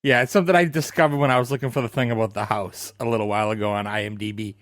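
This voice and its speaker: A man in his late thirties co-hosting a podcast, offering quick trivia asides and poking fun at himself. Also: mid-range voice, steady tone, fairly bright tone, dry recording, studio quality. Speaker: podcast co-host. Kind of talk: casual chat.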